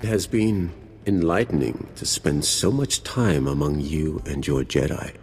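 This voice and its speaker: An actor playing an ancient, proud Sith lord in a video game, speaking in a formal, solemn and disdainful manner. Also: male voice, extremely low pitch, measured pace, a little mellow, faint music behind. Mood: reflective. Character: ancient, grim, proud Sith lord, three centuries old. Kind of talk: dramatic video game voice acting.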